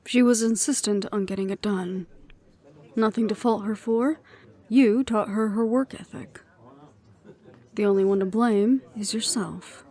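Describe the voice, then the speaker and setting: A woman playing a composed, dutiful middle-aged leader, speaking in a measured, reflective way in an audio roleplay. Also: mid-range voice, fairly even intonation, close to an everyday voice. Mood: polite, guarded. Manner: measured, reflective, quietly firm.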